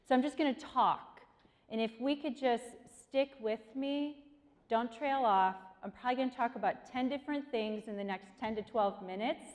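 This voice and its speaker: A woman's voice: fairly high pitch, a little mellow. A woman in her forties from Korea gives a chatty motivational talk to students, often asking the audience questions. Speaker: guest speaker. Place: large hall. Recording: long hall reverb.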